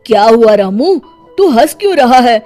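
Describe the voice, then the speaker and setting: A woman's voice: fairly high pitch, sing-song, normal speed, dark tone, soft background music. Woman acting a nosy rustic village uncle. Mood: curious. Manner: rustic, inquisitive, exclaiming. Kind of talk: dramatized folk-tale dialogue.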